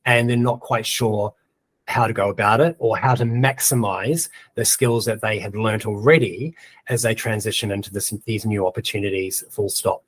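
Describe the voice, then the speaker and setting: A man's voice: fairly low pitch, fairly even intonation, normal speed, no echo. A man with a New Zealand accent giving a casual screen-share tutorial, chatting and thinking aloud as he types, with plenty of ums. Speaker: presenter of a webinar.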